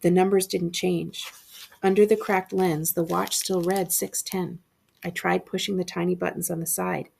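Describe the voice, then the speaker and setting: A woman librarian reading a spooky story aloud with expressive narration. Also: fairly low pitch, moderate pace, creaky, a little hiss or hum.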